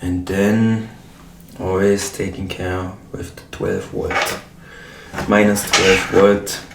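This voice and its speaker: A man with a German accent talks casually while assembling his synth case, pausing often to search for English words. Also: deep voice, very animated, gravelly, noisy background. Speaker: modular synth hobbyist. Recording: roomy, mic far off.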